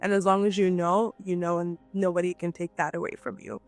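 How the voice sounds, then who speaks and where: A woman's voice: on the low side, little pitch movement, a little fast, soft background music. A woman from Nigeria, a guest entrepreneur, talking animatedly and emphatically in a casual interview, often checking in with "right?".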